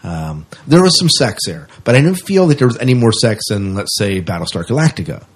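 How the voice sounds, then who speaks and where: Husky, low-voiced man chatting on a podcast. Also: sing-song, fairly quick. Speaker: podcast co-host. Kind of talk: casual sci-fi news and review chat.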